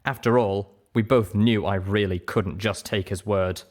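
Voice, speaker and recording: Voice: low-pitched, fairly even intonation, measured pace, a little mellow. A narrator reading scripted first-person fiction as an audiobook.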